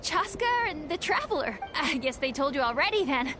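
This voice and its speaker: A woman voicing a pushy, eager young girl in a video game, insistent and excitable. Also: high-pitched, a little fast, background noise.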